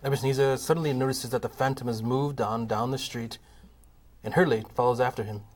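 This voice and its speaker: A man narrating the stage directions of an amateur comedy radio play in a plain, steady reading voice. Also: mid-range voice, a little fast.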